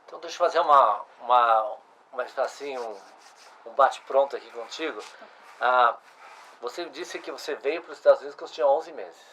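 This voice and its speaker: A man's voice: medium pitch, brisk, a bit bright, slight background noise. A middle-aged man, hosting a casual interview and asking follow-up questions. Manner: conversational, restarts and asks follow-up questions. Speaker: host.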